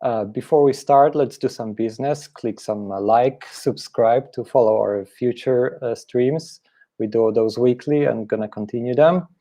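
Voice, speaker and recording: A male host opens a weekly live stream in an informal, easygoing way. His voice is gravelly with a dark, mellow tone. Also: medium pitch, a little slow.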